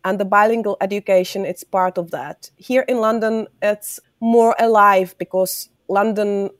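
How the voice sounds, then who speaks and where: A woman from the Czech Republic who works as an educator, speaking earnest, halting English with a Czech accent in an interview. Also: mid-range voice, fairly even intonation, no room sound, studio quality. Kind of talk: interview conversation.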